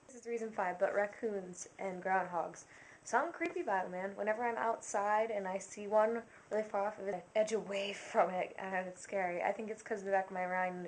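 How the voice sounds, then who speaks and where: Chatty young woman rambling through a list. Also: medium pitch. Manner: rambling, filler-heavy, self-conscious, dropping to quiet asides. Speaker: vlogger. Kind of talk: casual to-camera list monologue. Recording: faint background noise.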